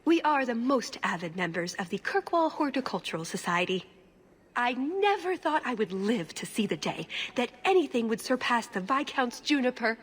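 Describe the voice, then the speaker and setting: A woman playing a quick-witted, wry young rogue in a fantasy game, chatty and expressive. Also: on the high side, breathy edge. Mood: falsely polite. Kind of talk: conversational fantasy game acting.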